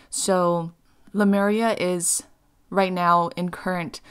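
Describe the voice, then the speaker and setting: A woman from Hawaii giving a channeled spiritual talk as a voice-over, halting often with fillers and restarts. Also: fairly low pitch, clear, resonant tone.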